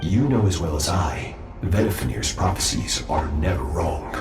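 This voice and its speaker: Haughty game warlord, low voice, formal, measured, distant and echoing, music underneath. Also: male voice. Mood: proud. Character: aloof, imperious, self-assured master warrior, male. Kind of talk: dramatic video game voice acting. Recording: echoey, distant voice over music.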